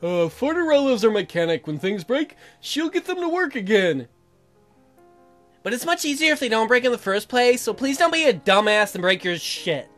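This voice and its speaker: A man voicing a colony mayor in a video game, a practical, slightly goofy official who explains things haltingly and informally. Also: very high-pitched, big pitch swings, fairly bright tone, soft background music, full character voice. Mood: official, helpful.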